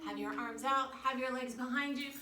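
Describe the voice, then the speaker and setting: Female kids' yoga teacher, encouraging and clear in instruction, higher-pitched, recorded at a distance in a roomy space. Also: steady tone, fairly quick. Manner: encouraging, simple instructions for young children. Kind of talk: guided children's yoga lesson. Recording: roomy, distant mic, faint background noise.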